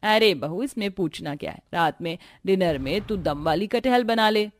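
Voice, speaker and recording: A woman's voice: lowish voice, expressive, normal speed, lightly acted. A woman acts a middle-aged mother-in-law in a domestic cartoon. She is bossy and insistent, pushing her point firmly. There is faint music underneath. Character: pushy, haggling middle-aged mother-in-law who brooks no refusal.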